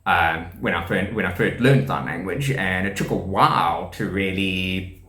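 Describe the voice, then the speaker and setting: A man in his thirties with a South African accent talks loosely and warmly about being deaf, chuckling often and circling back over his words. His voice sits a little low. The room around him is bare and echoey. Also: moderate pace. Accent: South African English. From South Africa, KwaZulu-Natal. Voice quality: speech shaped by profound hearing loss. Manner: rambling, many ums and restarts, laughs often. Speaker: deaf teacher and rugby player presenting a channel. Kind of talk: casual explanatory talk to camera.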